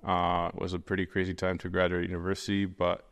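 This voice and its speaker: A man's voice: sits low, completely flat delivery, quick pace, clear, resonant tone, long reverb tail. A young man from Venezuela, a guest in a casual interview, rambling with many fillers and restarts. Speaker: guest, 3D artist.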